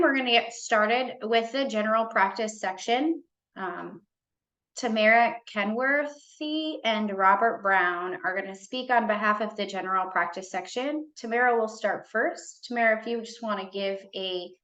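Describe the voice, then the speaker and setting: A woman moderating a panel over a video call, reading a formal welcome in a steady way. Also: a little high, moderate pace, roomy sound, highs cut off.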